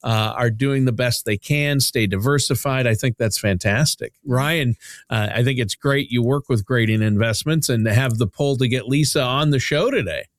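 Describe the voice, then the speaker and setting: Man, podcast host, encouraging, short affirmations, mid pitch, dry close sound. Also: moderate pace, no room sound. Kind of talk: podcast hosting.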